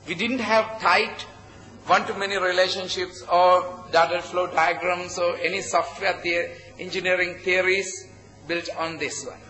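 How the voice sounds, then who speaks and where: A man with a Sri Lankan accent giving an earnest conference presentation in short, halting phrases. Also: sits high, little pitch movement, pushed and bright, soft background music, rough recording. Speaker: conference presenter, project founder. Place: conference hall at a hotel.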